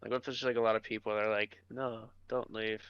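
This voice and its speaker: A man's voice: lowish voice, flat delivery, quick pace, clean tone, muffled recording. A young man co-hosting a podcast, chatting casually in a loose, blunt, slangy way. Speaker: podcast co-host. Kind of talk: casual chat.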